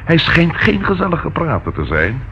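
An actor in a radio play as an eager police detective, informal, chatty and dryly humorous. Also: male voice, fairly high pitch, normal speed, full character voice. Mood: keen, droll. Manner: lively, informal, relishes reporting findings. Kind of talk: Dutch radio play acting. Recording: dull lo-fi old radio recording, faint noise.

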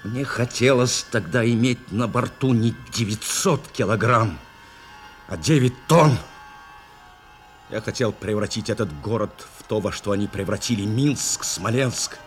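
An actor playing a grave, intense bomber crewman who recalls a wartime raid in measured, dramatic radio-play narration. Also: on the low side, close to an everyday voice. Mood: grim, bitter. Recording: 1970s radio drama with loud music bed.

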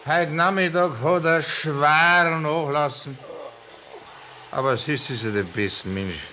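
A tipsy rambling Bavarian friend. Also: male voice, fairly high pitch, takes it slowly, pushed and bright, a little hiss or hum. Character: tipsy Bavarian man, loyal talkative friend. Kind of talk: 1960s radio play acting.

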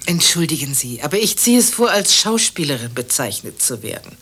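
An actress playing a prim, proud, affronted performer in a radio play, correcting someone in a husky voice. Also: female voice, sits low, sing-song, fairly quick, a bit bright, heavily acted. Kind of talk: radio drama acting.

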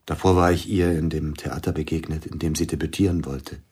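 A man playing a grieving widowed concert pianist in a radio play, speaking in a subdued, husky voice. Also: a bass voice, steady tone, a little fast, a little mellow. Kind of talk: radio drama acting.

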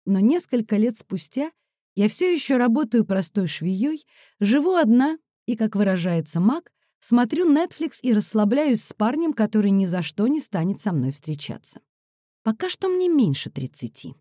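A woman reads a first-person novel as an audiobook narrator. Her voice is fairly high yet soft and mellow, with expressive delivery. The recording is very dry. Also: moderate pace, no echo.

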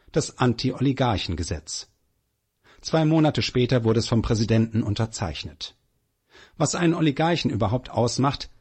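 A man narrates in a scripted documentary style. His voice sits at a middle pitch with a slightly husky grain. The delivery is factual and measured throughout. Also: darkish tone.

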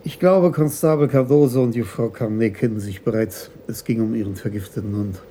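An actor playing a polite, probing senior police commissioner in a German radio crime drama, questioning in a courteous, measured way. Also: male voice, mid-range voice, warm tone, close to an everyday voice.

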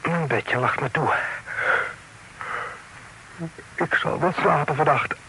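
An actor playing a formal, reasonable gentleman merchant in an old radio play, speaking in a measured way. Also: a little high, creaky, slight background noise, low-quality recording. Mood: weary. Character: tired, formal gentleman merchant negotiating firmly but reasonably.

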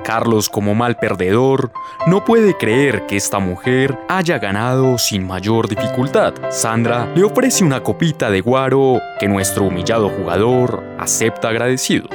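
A man's voice: mid-range voice, expressive, measured pace. A man narrating a radio soap opera in a dramatic, scripted style. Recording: music under the voice.

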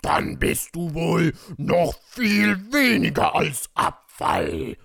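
A performer voicing a furious, possessive unicorn prince with a booming, drawn-out, threatening delivery. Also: creaky, bright, forceful tone, exaggerated voice. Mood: menacing, gloating.

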